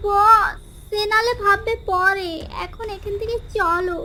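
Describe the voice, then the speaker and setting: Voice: extremely high pitch, steady tone, takes it slowly, sharp tone, a little hiss or hum, exaggerated voice. An actor playing a small, whiny, cheeky and boastful henchman in a comedy cartoon. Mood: fawning, urgent. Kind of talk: Bengali comedy cartoon dubbing.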